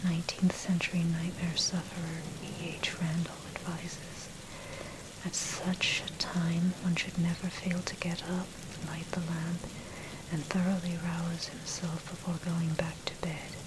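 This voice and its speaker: Female ASMR narrator, breathy full whisper, flat intonation, articulate scripted read, noticeable rainy background noise. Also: lowish voice, a little slow. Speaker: narrator reading own dark history article. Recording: steady noise, rain and thunder behind. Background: rain and thunder.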